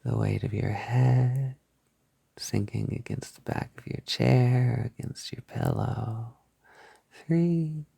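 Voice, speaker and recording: A man leading a soft-spoken guided hypnosis, soothing and reassuring, gently repeating his phrases on a close mic. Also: medium pitch, slow pace, creaky, slightly rough, dry recording. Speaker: hypnosis audio creator guiding the listener.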